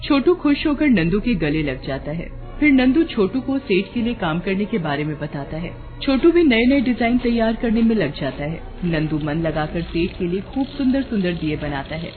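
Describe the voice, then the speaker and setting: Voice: mid-range voice, narrow, tinny audio. A narrator telling a scripted moral story for a cartoon.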